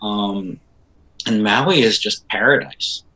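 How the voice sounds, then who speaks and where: Bright-voiced Israeli-born man telling stories. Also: lowish voice, takes it slowly, rough recording. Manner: animated storytelling with laughs and fillers. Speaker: composer guest. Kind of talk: casual interview chat.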